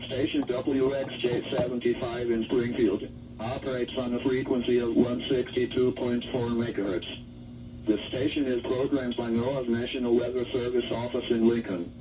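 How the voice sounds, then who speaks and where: A man reading a scripted station identification for weather radio in a formal, measured way. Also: mid-range voice, flat delivery. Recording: dull, distant weather radio broadcast.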